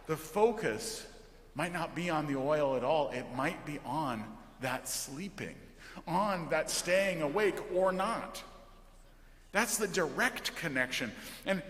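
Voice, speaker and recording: A man preaching a sermon as a pastor, speaking deliberately and reflectively, with emphatic pauses and questions. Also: high-pitched, fairly bright tone. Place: large church during worship service. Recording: reverberant church hall.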